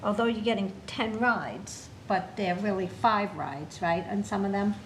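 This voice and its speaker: A woman's voice: medium pitch, slight background noise, poor audio. Older New York woman at a meeting. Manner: halting, many fillers and restarts, earnest. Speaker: alderman on a city committee. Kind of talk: public meeting discussion.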